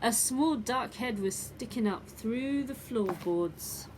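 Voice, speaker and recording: A woman reading a children's bedtime story expressively, with deliberate dramatic emphasis. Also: fairly high pitch, lively intonation, low-quality recording. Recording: clear background noise.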